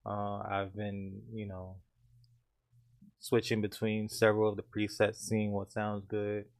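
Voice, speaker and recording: A man with a deep voice calmly walking through his home studio mixing setup in a casual tutorial. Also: a little slow. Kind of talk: casual screen-share tutorial.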